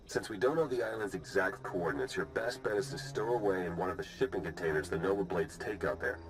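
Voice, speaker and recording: An actor playing a composed, practical covert operative in a video game, giving a matter-of-fact briefing. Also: male voice, deep voice, animated, fast talker, rough recording. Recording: music behind.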